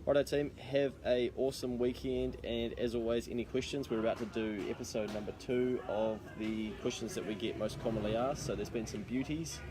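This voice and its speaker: A man's voice: mid-range voice, steady tone, brisk, hardly any pauses, over music, rough recording. A man with a New Zealand accent chatting casually to camera as an accountant co-hosting a video, in a matey, slangy way. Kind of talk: casual chat to camera for a live social video.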